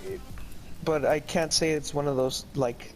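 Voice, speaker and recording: Young man, higher pitch, rambling casual answers, faint music behind. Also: a little slow, rough recording. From El Paso, Texas. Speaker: interviewee.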